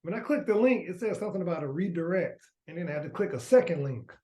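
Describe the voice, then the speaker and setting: A man who is a guest on a video call, hoarse and raspy from a cold, chatting casually. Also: high-pitched, expressive, quick pace, darkish tone. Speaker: guest husband.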